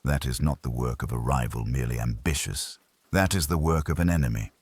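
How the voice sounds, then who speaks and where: A man voicing an imperious, cerebral private detective in an audiobook, speaking in formal, pedantic, measured pronouncements. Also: very deep voice, a little mellow, breathy edge, dry recording, voice pushed down. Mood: stern, analytical. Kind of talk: audiobook character dialogue.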